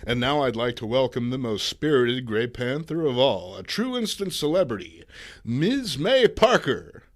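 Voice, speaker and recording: A man's voice: medium pitch, sing-song, moderate pace, close to an everyday voice. A man playing a television talk show host with formal announcer patter, in a comic book reading. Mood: welcoming.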